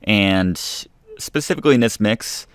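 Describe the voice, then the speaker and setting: A man with a very low, smooth voice talks through a how-to as the host of a tutorial. His delivery is informal and explanatory. The recording is dry, with hardly any room sound. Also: animated, normal speed, no echo. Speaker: music production tutorial host. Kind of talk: casual instructional screen-recording narration.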